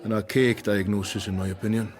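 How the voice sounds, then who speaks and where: An actor playing a dry-witted, guarded doctor in a period radio drama, speaking bluntly. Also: male voice, fairly low pitch, fairly even intonation, fairly quick, darkish tone, lightly acted. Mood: blunt, probing. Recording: music behind the voice.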